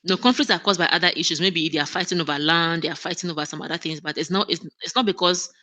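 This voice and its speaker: A woman's voice: fairly low pitch, quick pace, sharp tone. A woman guest in an interview, speaking earnestly with frequent restarts and easy laughter. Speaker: guest, operations manager. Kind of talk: conversational interview.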